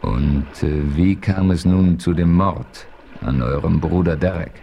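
A calm, shrewd frontier hero in a vintage radio play. His voice sits very low. He questions steadily and explains with quiet assurance. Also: a little slow, creaky, dull audio, a little hiss or hum. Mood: composed. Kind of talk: 1970s radio play acting.

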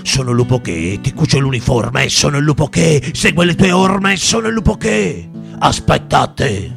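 A man's voice: on the high side, very animated, moderate pace, creaky. A man performs a repetitive, incantatory ballad as a singer-guitarist, drawing out the ends of his refrains. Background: acoustic guitar.

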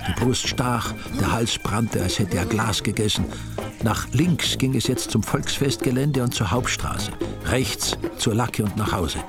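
A man's voice: mid-range voice, a little slow, music in the background. A man narrating a story with dry, ironic humor. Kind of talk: wry storytelling reading.

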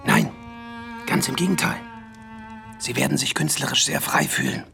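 Radio-drama film distributor, heavy fry, swooping pitch, silky flatterer who drops into reverent breathy murmurs. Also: male voice, moderate pace, over music, full character voice. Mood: insistent, courteous. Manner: flattering, theatrical, breathy near-whispers. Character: suave, effusive male film distributor courting an actress. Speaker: actor in a radio play.

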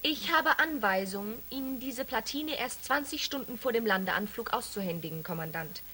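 An actress playing an officious security officer in a science fiction radio play, formal and insistent. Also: female voice, on the high side, lively intonation, normal speed, sharp tone, slight background noise, poor audio. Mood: official, insistent. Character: officious female security officer aboard a spaceship.

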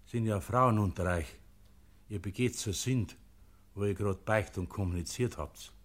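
A man's voice: fairly low pitch, moderate pace, natural voice. A man playing a mild, patient monk with a Bavarian accent in a historical radio play. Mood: alarmed. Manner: mild, pleading. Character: gentle, patient Bavarian monk keeping the monastery gate.

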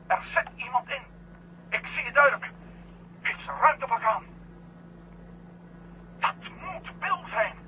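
Astronaut over a tinny radio link. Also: male voice, very high-pitched, animated, unhurried, long pauses. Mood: agitated. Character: alert, urgent male astronaut on a lunar mission. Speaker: actor in a science fiction radio serial. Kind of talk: 1970 Dutch-language radio drama acting. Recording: tinny phone-like radio link, hum and noise.

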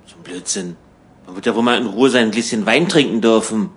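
A man's voice: lowish voice, a little fast, lightly acted. A man playing a restless, irritable emigrant in a hotel room, in conversational radio play dialogue. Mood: defensive. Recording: clear background ambience noise.

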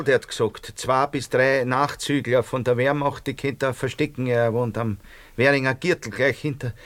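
An actor playing a nervous, self-justifying barber in a radio drama, rambling colloquially with a Viennese accent. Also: male voice, mid-range voice, a bit bright, dry recording, natural voice. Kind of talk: naturalistic radio drama dialogue.

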